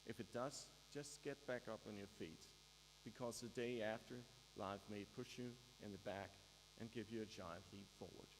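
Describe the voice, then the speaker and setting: A man delivers a formal graduation speech in a deliberate manner, pausing for emphasis. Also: a little hiss or hum. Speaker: graduation ceremony speaker. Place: large hall at a university ceremony, mains hum behind. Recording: echoey hall, humming low-quality live-stream.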